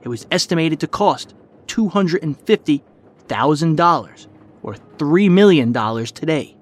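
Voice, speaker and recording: A man's voice: a little high, lively intonation, normal speed. A man reading scripted documentary narration as a podcast host.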